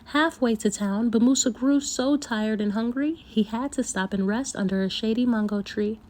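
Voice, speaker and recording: Female storyteller, higher-pitched voice, expressive and deliberate read-aloud of a folktale for kids.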